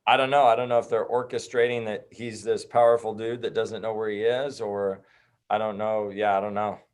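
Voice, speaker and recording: A man's voice: on the low side, little pitch movement, brisk, clean tone. A man with an American accent talking loosely and jokingly as a guest in a casual interview. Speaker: guest, activist group organizer. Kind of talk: casual chat.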